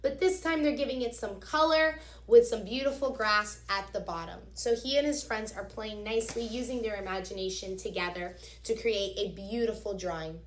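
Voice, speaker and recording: A woman reading a picture book aloud as a teacher, expressively, pausing to explain things directly to young children. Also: medium pitch. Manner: expressive, instructive, addresses young listeners directly. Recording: echoey, reverberant room.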